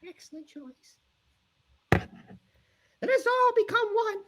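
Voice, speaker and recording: Cartoon villain, extremely high swooping voice, polite, formal and grandly menacing. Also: male voice, lively intonation, slow, a bit bright, exaggerated voice. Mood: grandly threatening. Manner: formal, theatrical. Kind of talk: live comic dub acting.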